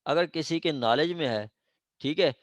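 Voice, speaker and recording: A man's voice: fairly high pitch, normal speed, no echo. A man with a Pakistani accent giving an informal classroom lecture as a teacher, often checking that students follow. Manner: didactic, frequent check-in questions to students. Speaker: law lecturer. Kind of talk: informal classroom law lecture mixing Urdu and English legal terms.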